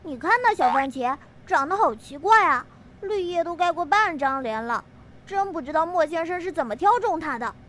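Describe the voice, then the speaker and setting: Squeaky, sneering little tomato. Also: female voice, animated, moderate pace, a bit bright, a little hiss or hum. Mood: snide. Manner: sneering, haughty. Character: mean, mocking little cherry tomato, childlike. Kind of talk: cartoonish audio drama acting.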